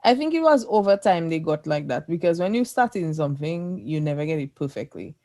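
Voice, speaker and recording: A woman's voice: sits low, very animated, fairly quick, dark tone, no echo. A woman with a Caribbean accent chatting casually and outspokenly as a podcast host in playful group banter.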